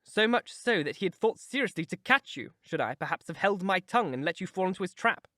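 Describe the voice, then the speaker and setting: A man reading a novel aloud, voicing a proud, sharp-tongued young married woman with a scornful, emphatic manner. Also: high-pitched, lively intonation, brisk, no echo, clean studio sound. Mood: lecturing.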